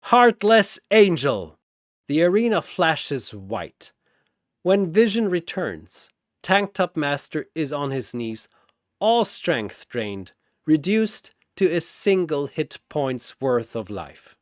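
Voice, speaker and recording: Slow, dramatic male battle narrator. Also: a little high, sing-song, no echo, studio quality. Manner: theatrical, clipped phrases.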